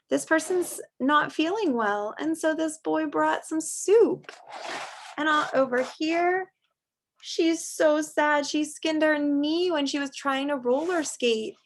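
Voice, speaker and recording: A woman's voice: high voice, a little hiss or hum. A woman teacher reading a picture book aloud to young children, expressively and in simple words, with chatty asides.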